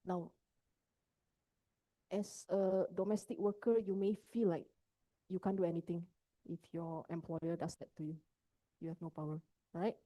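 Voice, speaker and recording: A woman's voice: fairly low pitch, little pitch movement, moderate pace, pauses often, no room sound, dull audio. A woman from Indonesia, a psychologist giving a workshop talk on mental health, often checking in with her listeners as she explains.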